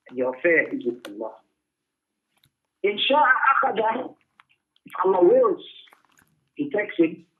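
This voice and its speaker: A man giving an emphatic religious lesson as a teacher, repeating phrases for stress as he reads and explains texts. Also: sits high. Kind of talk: Islamic lesson, reading Arabic texts and explaining them in English. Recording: tinny phone-like radio-style lecture audio.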